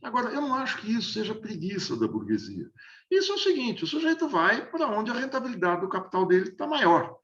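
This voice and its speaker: A man's voice: high-pitched, wildly swooping pitch, brisk, fairly bright tone, echoey room. A man in his sixties from the interior of São state, explaining at length as an interview guest, hesitant with many repeated restarts. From interior of São Paulo state, Brazil. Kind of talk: explanatory conversational analysis. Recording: dull lo-fi audio.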